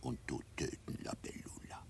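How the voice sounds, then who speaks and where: A man's voice: moderate pace, in a whisper, natural voice. A man playing an ugly, superstitious, lecherous monk in a radio drama, babbling conspiratorially in broken mixed Latin, Romance and German. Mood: secretive, menacing.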